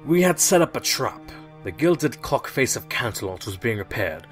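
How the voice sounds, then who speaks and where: A man's voice: medium pitch, very animated, normal speed, faint music behind, lightly acted. A man voicing an earnest police inspector pony, matter-of-fact and explanatory, in an audio drama. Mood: explaining. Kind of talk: audio drama character acting.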